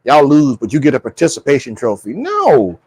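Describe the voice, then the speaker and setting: Male co-host, husky and highly animated, slightly high pitch, informal and emphatic, casual panel banter. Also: brisk, no echo. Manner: emphatic, informal, rhetorical questions. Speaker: talk show co-host.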